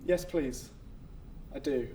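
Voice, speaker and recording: A man acting in a stage play as an earnest afterlife official, tender and resolute, measured and imploring, heard in an echoey space. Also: mid-range voice, mellow, lightly acted. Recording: echoey stage, distant mic, faint noise.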